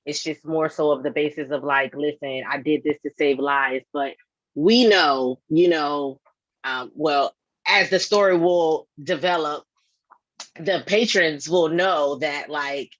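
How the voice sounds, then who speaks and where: A woman with a fairly low voice gives casual, reflective feedback, piecing her thoughts together as she speaks. The sound is very dry and a little dull, like limited-bandwidth audio. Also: slow pace, no echo.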